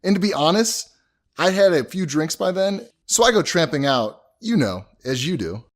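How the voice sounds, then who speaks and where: A man's voice: on the high side, sing-song, moderate pace. A man playing an easygoing, cheeky young husband, telling things informally in an audio drama. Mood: chatty, animated. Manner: informal, chatty storytelling.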